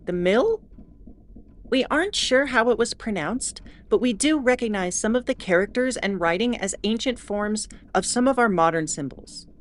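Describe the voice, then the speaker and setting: A woman playing a wry, mildly exasperated starship announcer in a scripted audio drama, explaining in a measured way with asides. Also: a little high, lively intonation, lightly acted. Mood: explanatory. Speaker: host.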